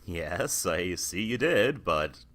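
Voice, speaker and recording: An earnest, troubled doctor from an old-time radio play, acted by a man. His voice is forward and sharp-edged, with wide swings of pitch. He speaks urgently but courteously. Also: big pitch swings, slow, exaggerated voice. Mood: bewildered. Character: desperate, baffled male doctor, earnest and courteous. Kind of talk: vintage radio drama acting.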